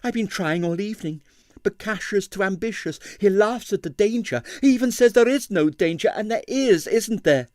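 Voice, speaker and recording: Man as a high-voiced, pleading young ballerina. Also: lively intonation, normal speed, darkish tone, no echo, studio quality. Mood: anxious insistence. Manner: impatient, pleading, anxious. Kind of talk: audiobook character voice.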